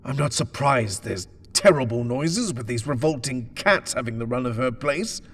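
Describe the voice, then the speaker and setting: Man acting an imperious, husky gentleman. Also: medium pitch, lively intonation, normal speed, big-room sound, pitched above the performer's own voice. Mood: scornful shouting. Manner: imperious, scornful, exasperated. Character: arrogant, short-tempered British gentleman house-buyer.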